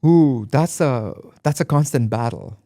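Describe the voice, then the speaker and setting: Guy from dubai on a podcast, mellow warm tone, dry close mic, keeps repeating himself for emphasis. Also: mid-range voice, lively intonation, unhurried, no echo. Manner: reflective, emphatic, repeats phrases. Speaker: guest artist. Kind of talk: conversational interview.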